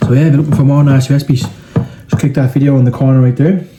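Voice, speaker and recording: A man's voice: mid-range voice, fairly even intonation, fast, background noise. A young Irish man hosting a cooking video, talking casually and bluntly with the odd swear. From Ireland. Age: young adult. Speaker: cooking channel host. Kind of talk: casual cooking vlog narration.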